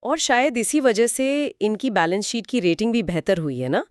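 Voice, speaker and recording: A woman's voice: a little high, lively intonation, moderate pace, no echo, studio quality. A woman co-hosting a conversational explainer, speaking inquisitively and mixing English terms into her speech. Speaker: co-host of a financial explainer discussion.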